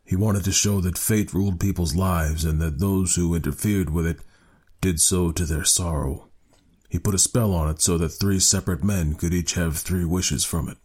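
A man voicing a grave middle-aged sergeant major in a storybook reading, haunted and weary, speaking slowly, solemnly and gruffly. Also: low voice, fairly even intonation, a little mellow.

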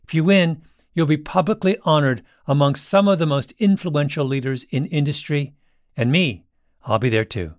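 A man's voice: a little high, normal speed, no room sound, studio quality. A man giving a conversational, scripted advertisement read. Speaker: advertisement reader.

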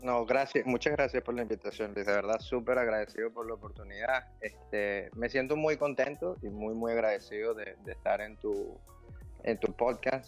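Reflective Venezuelan man, podcast guest. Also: on the low side. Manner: grateful, reflective, frequent "este" fillers. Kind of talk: casual conversational interview.

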